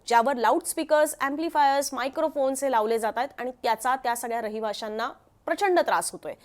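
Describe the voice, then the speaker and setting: A woman with a distinctly high voice and a Maharashtrian accent presents the news. She speaks as an explainer, stressing and repeating the key points as she goes.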